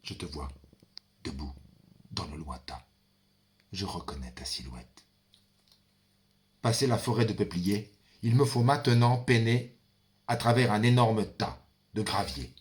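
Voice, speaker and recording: A man performing a theatrical monologue as a brooding son, the ferryman of the dead, addressing his father in a deliberate, dramatic, incantatory manner. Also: on the low side, expressive. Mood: urgent, reproachful. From France. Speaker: stage performer.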